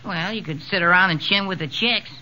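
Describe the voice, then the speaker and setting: Piercingly bright, cocky teenage pal. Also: male voice, high-pitched, a little fast, a little hiss or hum. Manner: slangy, cocky wisecracks. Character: wisecracking girl-shy teenage boy, cocky best pal. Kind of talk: 1940s radio situation comedy acting. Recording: dull vintage 1940s radio recording.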